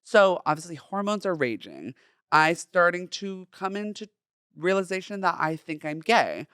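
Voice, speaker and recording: A young man from Southern California with a feminine, light voice, hosting a personal solo podcast in a chatty, campy, expressive way. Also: high-pitched, moderate pace, smooth, no room sound, clean studio sound. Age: young adult. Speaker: podcast host.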